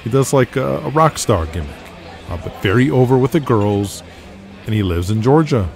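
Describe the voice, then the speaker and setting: A man's voice: medium pitch, expressive, moderate pace, vocal fry, faint music behind. A man voicing a respectful, starstruck young wrestling fan, hesitant and polite. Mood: hesitant, earnest.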